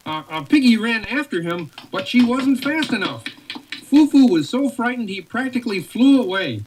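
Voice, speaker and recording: Animated woman reading a storybook aloud. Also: fairly high pitch, moderate pace, a little hiss or hum, poor audio. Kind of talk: read-along story narration.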